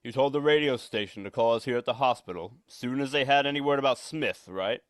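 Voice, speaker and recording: A man's voice: mid-range voice, a little fast, close to an everyday voice. A man playing a penny-pinching, anxious property owner in old-time radio suspense style, curt, skeptical and fretful.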